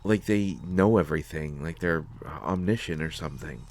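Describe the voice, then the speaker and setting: A man playing a nervous, bookish survivor in a sci-fi audio drama, speaking haltingly with frequent stammers. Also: sits low, a little mellow, a little hiss or hum. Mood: uneasy, hesitant. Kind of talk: scripted sci-fi audio roleplay, solo acting.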